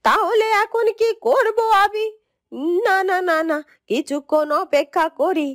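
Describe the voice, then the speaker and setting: A woman voicing a scheming, greedy younger sister of a witch in a fairy-tale cartoon, fretful and excitable. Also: very high-pitched, unhurried, no echo, clean studio sound, exaggerated voice. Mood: anxious scheming.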